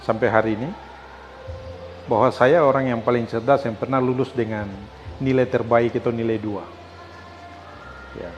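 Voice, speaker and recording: A man from South Papua, a podcast guest speaking in measured, emphatic short phrases. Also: mid-range voice, steady tone, dull audio, a little hiss or hum. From Asmat, South Papua, Indonesia. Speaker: podcast guest, law academic and lecturer. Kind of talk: personal storytelling in an interview.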